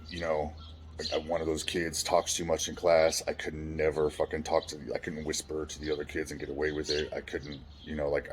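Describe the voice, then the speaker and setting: Very deep-voiced man telling his story. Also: slight background noise. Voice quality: deep resonant voice. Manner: frank, swears casually, many restarts. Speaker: vlogger. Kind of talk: candid personal storytelling.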